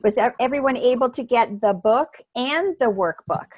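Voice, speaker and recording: A woman's voice: a little high, animated, normal speed, a little mellow, husky, no echo. A woman from the New Jersey shore, hosting an online book study as a casual video call. She is upbeat and welcoming and often laughs.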